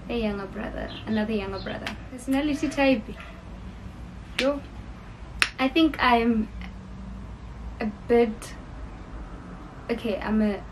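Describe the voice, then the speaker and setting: A woman's voice: medium pitch, a little mellow, a little hiss or hum, low-quality recording. A young woman in her twenties with a South African accent, whose first language is Sepedi, chatting casually to camera in a get-to-know-me video from her bedroom. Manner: chatty, gushing, with frequent ums and laughs. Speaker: YouTuber. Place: bedroom, indoors. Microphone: camera in front, seated facing it.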